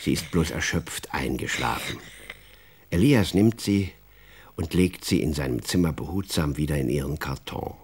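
A man narrating a children's radio drama as an expressive, wry storyteller. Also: rumbling low, normal speed, husky.

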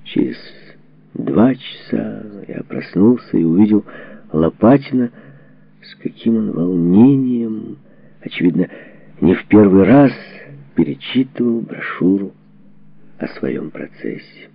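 A man reading a memoir as a scripted audiobook narration, with measured, dramatic phrasing and expressive delivery. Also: fairly low pitch, creaky, no echo. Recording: dull, muffled lo-fi audio.

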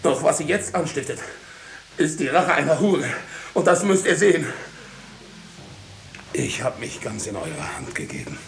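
An actor playing a passionate, guilt-ridden husband and farmer in a theatrical 1950s German radio drama. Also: male voice, fairly high pitch, big pitch swings, measured pace, vocal fry, long pauses, full character voice. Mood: impassioned. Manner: impassioned, theatrical. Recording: echoey, faintly hissy 1950s radio recording.

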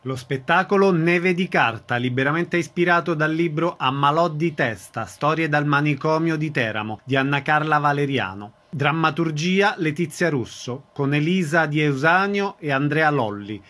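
A man's voice: a little high, animated, moderate pace, few pauses, a bit bright. A man reading station announcements and show credits as a radio announcer, in a plain, matter-of-fact way. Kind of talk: read announcement.

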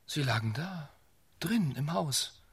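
An actor playing an impatient, unsentimental killer in an absurdist radio play, with a clipped, commanding way of talking. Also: male voice, a little high, animated, slow, a bit bright, husky, no room sound, exaggerated voice. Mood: grim, matter-of-fact. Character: impatient male head-hunting killer, bossy and unsentimental.

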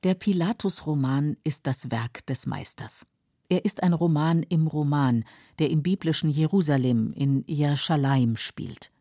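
A woman narrating a scripted literary radio feature in a measured, explanatory manner. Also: deep voice, mellow, no echo, studio quality. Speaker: documentary narrator.

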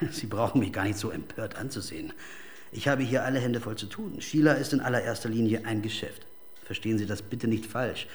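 An actor playing a smug, evasive music manager in a radio crime drama, coldly businesslike, formal and condescending. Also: male voice, on the low side, fairly quick, natural voice. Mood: condescending, cool.

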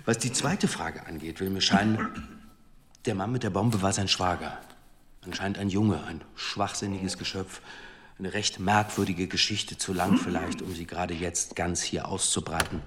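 A shrewd police commissioner in a radio drama. His voice is fairly low, formal and measured with dry wit, over noticeable background noise. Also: fairly even intonation, a bit bright. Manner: measured, formal, dryly witty. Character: dry, shrewd senior male police commissioner, deferential to superiors.

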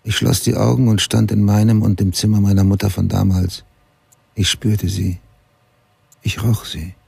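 Low, husky haunted crime writer. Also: male voice, flat delivery, moderate pace, a little mellow, no room sound, exaggerated voice. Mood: brooding, matter-of-fact. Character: adult male crime writer, obsessed and haunted, recalling his investigation. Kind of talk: radio play narration.

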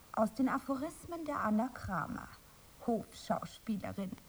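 A woman playing a young aspiring actress in a radio play, dreamy, wistful and proud, with breathy theatrical musing. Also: mid-range voice, a little mellow, slight background noise, poor audio. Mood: self-important. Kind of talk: radio play monologue and dialogue.

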